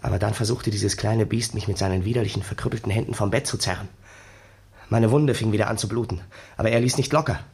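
An actor playing a haunted, bitter young ex-soldier, telling his story wearily and dramatically in a gravelly, husky voice for a 1960s German radio play. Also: on the low side, quick pace, a little mellow, heavily acted. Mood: horrified recollection.

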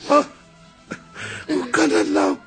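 An actor playing an excitable, blustering old father in a Dutch radio play, grumbling yet soft-hearted. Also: slow, music in the background, natural voice. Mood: distraught. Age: older. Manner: blustering, exclaiming.

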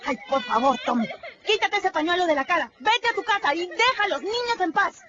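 A woman's voice: very high voice, animated, a little fast, background noise. An actress playing a bossy, indignant young girl in a radio drama, scolding and exclaiming shrilly. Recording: dull lo-fi radio drama, loud music.

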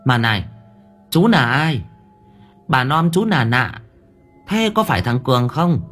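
Old village woman, shrill and panicky, wailing high, a man puts her on; storytelling voice, faint music under. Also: expressive, a little slow, a bit bright. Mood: chatty. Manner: high-pitched cries, panicky.

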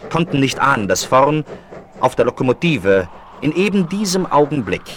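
A man's voice: mid-range voice, big pitch swings, normal speed, fairly bright tone. A man narrating dramatically from a script, as the storyteller of a German radio play. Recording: noisy 1970s vinyl rip.

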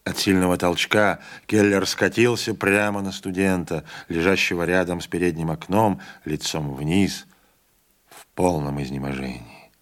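A man narrating a literary audiobook in a measured, dramatic storytelling manner. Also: low voice, steady tone.

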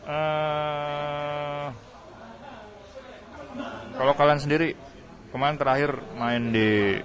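A man's voice: fairly high pitch, level tone, takes it slowly, a crowd in the background. Casual, slangy male radio host. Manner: informal, slangy, chatty. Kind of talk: casual radio music interview.

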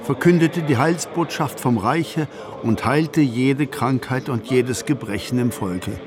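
An actor playing an evangelist, earnestly telling scripture as narration in a radio drama. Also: male voice, medium pitch, measured pace, background noise, close to an everyday voice. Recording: crowd clearly heard behind voice.

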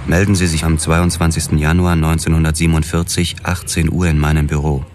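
A man's voice: extremely low pitch, a little mellow. A man playing a young hard-boiled police detective narrating a crime radio drama in a terse, world-weary way, with music behind him. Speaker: actor narrating and playing the lead.

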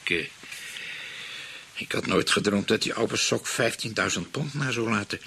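An actor playing a hesitant, sheepish spendthrift husband in a radio play, in a conversational Dutch accent from the Netherlands. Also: male voice, fairly low pitch, normal speed, vocal fry, sharp tone, a little hiss or hum. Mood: worried, rueful. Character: spendthrift husband fretting over an aunt's inheritance.

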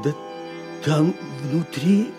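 An actor playing a gentle, weary old peasant husband in a Russian audio fairy tale, with a fond, sighing, expressive delivery. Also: male voice, a little high, very slow. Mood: delighted. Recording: studio radio play, prominent music behind.

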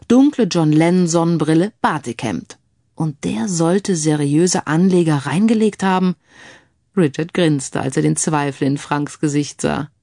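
A woman's voice: low-pitched, mellow, no room sound, studio quality. A woman reading scripted crime fiction as an audiobook narrator.

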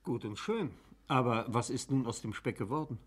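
An actor in a German radio play playing an official examining magistrate who questions briskly and sceptically. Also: male voice, mid-range voice, expressive, husky.

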